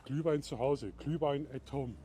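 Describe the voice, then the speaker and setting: A middle-aged man from Bavaria with a German accent, chatting casually while walking outdoors and talking to viewers in a live video, moving between German and halting English. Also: mid-range voice, mellow, slight background noise. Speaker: livestream vlogger. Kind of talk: casual live walk-and-talk. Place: outdoors in a town at night.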